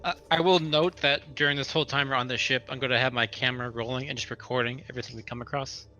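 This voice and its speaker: A man's voice: mid-range voice, steady tone, fast talker, bright, forceful tone. A man talking casually as a player in a tabletop roleplaying session. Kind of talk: casual tabletop game chat. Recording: dull lo-fi audio, faint noise.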